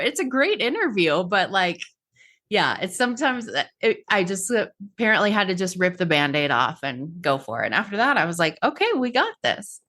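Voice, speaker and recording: A woman's voice: mid-range voice, fairly bright tone. A woman hosting a podcast, talking in an upbeat, chatty way and often saying "like.". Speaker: podcast host. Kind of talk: casual interview chat.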